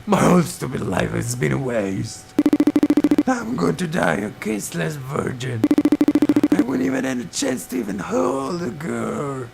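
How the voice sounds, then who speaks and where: A man voicing a huge, self-pitying, whiny male beast from a visual novel, creaky and whimpering, with melodramatic bitterness. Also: fairly high pitch, very animated, pitched above the performer's own voice, heavily acted, rough recording. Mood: tearful self-pity. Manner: slow, sulky, melodramatic outbursts. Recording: loud guitar music behind.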